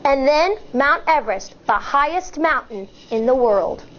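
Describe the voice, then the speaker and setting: An American woman with a distinctly high voice reads a picture book to children. Her pace is very measured and her delivery is instructive, like a teacher explaining things step by step. The recording is slightly dull and lo-fi. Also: expressive, fairly bright tone. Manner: deliberate, instructive, addressing young children. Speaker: elementary school teacher reading aloud.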